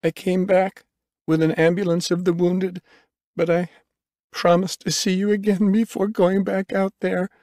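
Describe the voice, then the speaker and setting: A man voicing a young female medic in an audiobook, speaking gently and carefully but insistently. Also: sits high, flat delivery, unhurried, no echo, clean studio sound, pitched above the performer's own voice. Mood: gentle, sorrowful.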